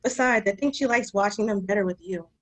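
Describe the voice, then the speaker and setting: A woman playing a kindly, apologetic nanny in a gentle, conversational way at a screenplay table read. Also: medium pitch, brisk, close to an everyday voice, low-quality recording. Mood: casual, kindly. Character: kindly, apologetic female nanny, eager to help.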